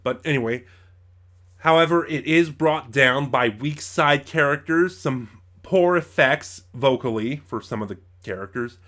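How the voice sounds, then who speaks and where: A man's voice: mid-range voice, unhurried, a bit bright. Male review-channel host. Mid-range voice, informal and opinionated, wanders and corrects himself as he goes. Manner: rambling, self-correcting, opinionated. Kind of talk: informal spoken audio drama review.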